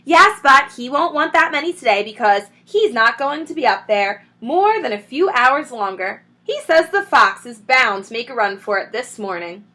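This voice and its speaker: A reader putting on a bossy, loud, high-voiced housewife. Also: female voice, big pitch swings, normal speed, few pauses, full character voice. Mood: brisk. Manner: sharp, commanding, scolding. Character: bossy, impatient woman of the house shouting downstairs.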